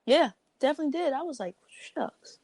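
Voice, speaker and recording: A woman's voice: high-pitched, animated, brisk, darkish tone, no echo. A woman chatting informally and slangily with a friend on a podcast. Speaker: podcast speaker. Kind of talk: casual chat between friends.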